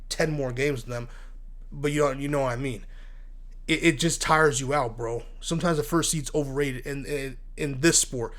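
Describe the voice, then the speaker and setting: A man hosting casual solo sports talk, congested from asthma and allergies, blunt and animated, stumbling and repeating words as he gets worked up. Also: a little high. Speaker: sports commentary host.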